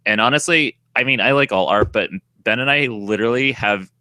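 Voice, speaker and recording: Bright-voiced man, slight Boston accent. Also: mid-range voice, a little fast, smooth, dry recording. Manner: rambling, laughing, trailing off mid-sentence. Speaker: podcast co-host. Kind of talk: casual chat, reading listener mail.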